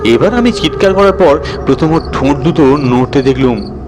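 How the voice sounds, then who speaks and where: A man voicing a colloquial raconteur who recalls a frightening night with drawn-out, dramatic suspense. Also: medium pitch, lively intonation, natural voice. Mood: frightened awe.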